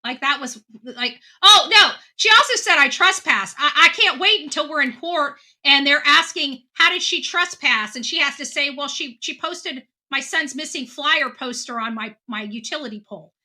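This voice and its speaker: High, very bright, emphatic woman. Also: moderate pace, hardly any pauses. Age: 40s. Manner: emphatic, indignant, repeats phrases for effect. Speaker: online commentator hosting a true-crime show. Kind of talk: impassioned off-the-cuff commentary, reading viewer comments.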